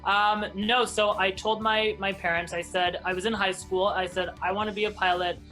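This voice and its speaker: Man, Hebrew; bright, mid pitch; chatty guest who restarts often; faint music behind. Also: flat delivery, moderate pace, rough recording. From Israel. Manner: chatty, many restarts and ums. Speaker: airline captain, guest. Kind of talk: interview, personal storytelling.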